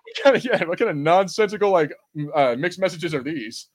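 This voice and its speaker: Fast-talking man with a highish voice. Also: lively intonation. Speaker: podcast co-host. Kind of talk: casual watch-party chat.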